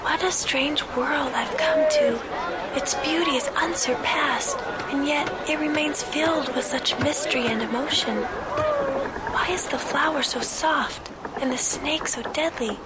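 A mysterious young woman from another world in an anime game. The voice is high, solemn and formally measured, with clear background noise. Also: animated, over a noisy crowd. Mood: wondering. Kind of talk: anime game cutscene acting.

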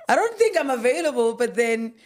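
A woman's voice: fairly high pitch, sing-song, a little fast, darkish tone, a little hiss or hum. A South African woman in her thirties, a guest author speaking in a preacherly way and repeating phrases for emphasis, on a studio mic. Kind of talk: faith-based interview talk. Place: podcast studio with a yellow backdrop. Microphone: studio mic on a boom arm.

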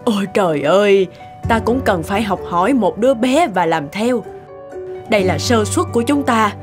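A woman's voice: medium pitch, animated, moderate pace, soft background music, lightly acted. A woman playing a gracious, courteous elderly lady in a cartoon moral tale. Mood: kindly. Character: gracious elderly lady, gently teasing grandmother. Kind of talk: animated moral tale dubbing.

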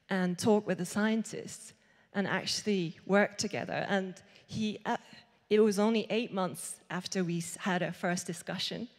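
A young woman speaks on stage into a handheld microphone in a big hall, and her voice trails off into a long reverb. She talks in a loose, chatty way, full of fillers and restarts, at a middle pitch. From Japan. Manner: chatty, lots of likes and restarts. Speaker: artist giving a conference talk. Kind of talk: conference talk. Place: large hall with seated audience.

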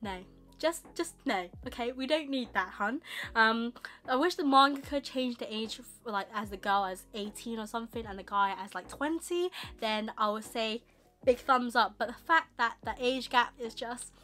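A young woman with a slightly husky voice from a tickly throat, rambling casually through a manga haul in her bedroom. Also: fairly high pitch, sharp tone, faint music behind. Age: young adult. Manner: rambling, chatty, quick asides. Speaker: manga vlogger. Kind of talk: casual chatty haul vlog. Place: bedroom with manga shelves, indoors.

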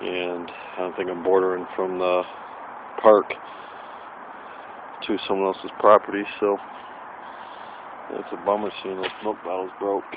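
A man chatting excitedly while digging for old bottles in the woods, recorded on a handheld camera. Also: low voice, unhurried, long pauses. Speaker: metal detecting vlogger. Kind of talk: casual outdoor vlog narration. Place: wooded area outdoors. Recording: tinny lo-fi camera audio with noise.

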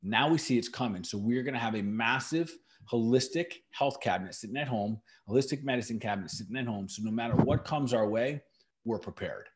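A man's voice: mid-range voice, fairly quick, very noisy. A middle-aged man hosting a podcast, explaining herbal remedies in a casual, emphatic way and repeating key words for stress. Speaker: natural medicine practitioner and podcast host.

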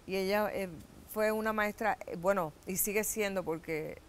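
Woman, Puerto Rican accent, middle-aged, lively storyteller, TV studio, faint background hum. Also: mid-range voice, normal speed. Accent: Puerto Rican Spanish. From Puerto Rico. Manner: animated storytelling with gestures, laughs and acted-out quotes. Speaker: actress and guest. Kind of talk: TV studio interview. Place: TV studio set.

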